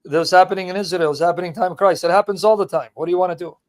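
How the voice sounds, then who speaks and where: A man's voice: sits high, fairly even intonation, rapid-fire. A man hosting a debate, brash and mocking, firing questions at a caller. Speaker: host. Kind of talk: combative debate talk.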